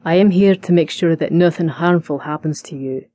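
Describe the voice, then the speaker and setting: A woman voicing an intense, serious Scottish bodyguard in an audiobook, speaking slowly in a deep, hushed voice. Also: dry recording. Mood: serious, intimate. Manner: slow, deliberate.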